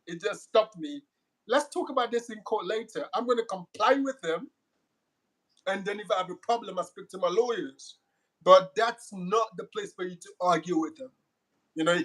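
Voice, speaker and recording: A middle-aged man with a West African accent talks in a candid interview, and his voice sits high for a man. He speaks emphatically and doubles back on words as he drives each point home. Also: a bit bright, poor audio. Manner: emphatic, repeats words when pressing a point.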